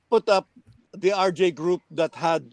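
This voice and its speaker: An older man with a Filipino accent reminiscing casually, halting as he recalls details and acting out quoted dialogue. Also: high-pitched, a little mellow, dry recording. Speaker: former radio DJ and program director, guest on a reunion show.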